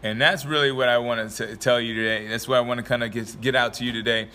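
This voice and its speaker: Slightly raspy young man, bright tone. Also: medium pitch, steady tone, fast. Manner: rambling, filler-heavy, laughs often. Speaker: motivational vlogger and podcaster. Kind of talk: casual motivational talk to camera.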